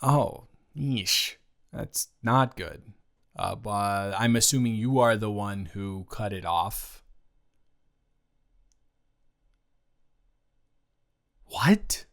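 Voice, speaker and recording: A man playing a teasing, supportive male roommate in an improvised romantic audio roleplay, talking casually and stammering, with animated outbursts. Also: lowish voice, takes it slowly, vocal fry, full character voice. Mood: surprised, incredulous. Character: male roommate and friend, teasing, supportive, indignant on her behalf.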